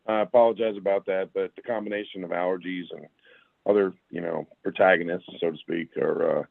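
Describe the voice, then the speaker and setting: A man with a raspy voice and a sore throat, a retired army sergeant talking as a guest in a casual interview, plainspoken with folksy asides. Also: low-pitched, fairly even intonation, fairly quick, no echo. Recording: tinny phone line, dry.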